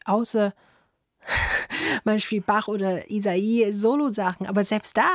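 A woman's voice: medium pitch, vocal fry, no echo, clean studio sound. Thoughtful woman violinist. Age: 30s. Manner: thoughtful, laughs softly, slight grammar slips. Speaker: violinist guest. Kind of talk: radio interview answers. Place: radio studio.

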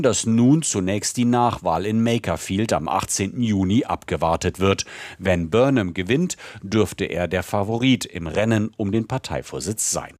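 A man's voice: on the low side, no room sound, clean studio sound. A man narrating a scripted news report as a correspondent.

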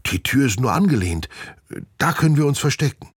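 A man's voice: mid-range voice, very animated, a little fast, breathy edge, no echo, studio quality, pitched above the performer's own voice. A man voicing a practical, questioning young schoolboy in a children's story, with an eager manner.

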